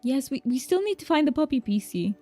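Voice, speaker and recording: A woman's voice: high-pitched, big pitch swings, brisk, mellow, faint music behind. A young woman whose first language is Romanian, chatting casually and reassuringly to viewers while playing a game, laughing often. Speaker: streamer. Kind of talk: casual game stream chat.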